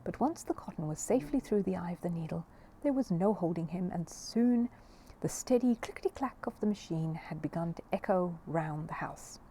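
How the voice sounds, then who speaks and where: A woman reading a children's story aloud as an expressive narrator. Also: fairly low pitch, moderate pace, slight background noise. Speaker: audiobook reader.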